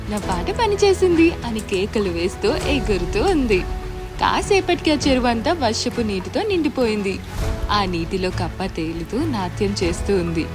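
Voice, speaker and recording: A woman storyteller reading a scripted moral tale for children. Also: medium pitch.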